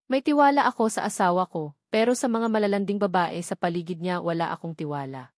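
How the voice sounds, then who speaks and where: A woman's voice: fairly low pitch, fairly quick, dry recording, studio quality, lightly acted. Woman reading as a pouty young Filipino wife. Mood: sulky, jealous. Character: sulky, jealous young wife, romance heroine.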